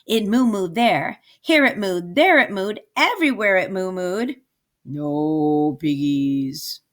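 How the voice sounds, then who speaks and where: A woman's voice: mid-range voice, animated, measured pace, a bit bright. A woman reading a children's picture book aloud, emphatic and sing-song in her narration. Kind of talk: expressive children's storybook narration.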